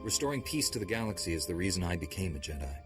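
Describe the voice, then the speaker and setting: An actor playing an earnest, questioning young hero of a Jedi order in a video game, speaking directly. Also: male voice, low-pitched, sing-song, fast talker, over music. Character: earnest, doubting male Jedi knight hero. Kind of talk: video game cutscene acting.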